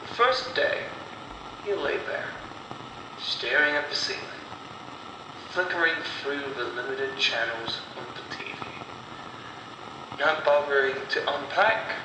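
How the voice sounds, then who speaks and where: A man narrates a horror tale at a slow, deliberate pace, breaking his lines into dramatic fragments. His voice sits a little high for a man. It sounds roomy and echoey, as scripted storytelling recorded in a reverberant space. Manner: deliberate, dramatic, broken phrasing with pauses. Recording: roomy echo, distant mic, clear background noise.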